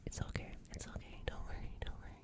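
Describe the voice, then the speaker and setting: A performer playing a gentle, nervous alien in a whispered ASMR roleplay, speaking in a breathy, hesitant, stammering and soothing way. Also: quick pace, lightly acted. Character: gentle, nervous alien caring for an injured human.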